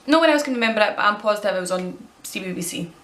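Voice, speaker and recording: A Scottish woman of twenty-two, chatting casually as she answers tag questions and rambles with quick self-corrections. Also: mid-range voice, lively intonation, fairly bright tone, reverberant. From Scotland. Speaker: vlogger.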